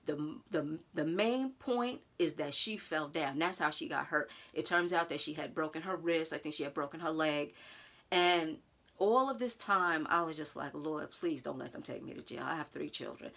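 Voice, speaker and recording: Lively storytelling woman, slightly low voice. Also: moderate pace. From United States. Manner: animated, talks straight to viewers with "y'all", acts out others' lines. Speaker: vlogger telling a personal story. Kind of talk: casual storytime monologue.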